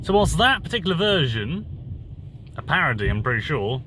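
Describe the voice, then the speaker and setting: A man's voice: fairly high pitch, big pitch swings, fairly quick, background noise. A man musing aloud informally and retelling stories, thinking as he goes and correcting himself. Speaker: solo commentator on Bible and fairy tales.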